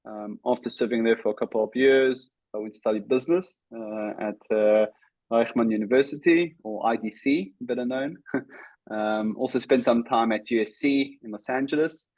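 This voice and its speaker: A man in his thirties with a South African accent, a guest telling his career story in casual interview talk, with lots of "um" and "uh" pauses. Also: mid-range voice, a little mellow, no room sound, rough recording. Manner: talks in short bursts with many "um" and "uh" pauses. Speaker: guest, startup CEO.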